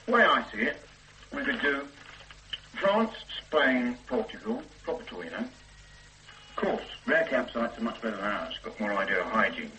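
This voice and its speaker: An actor playing a dry-humored beat cop. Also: male voice, mid-range voice, expressive, moderate pace, background noise, natural voice. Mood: casual. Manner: dry, chatty, joking. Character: easygoing male police constable on a stakeout. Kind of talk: 1970s radio drama acting.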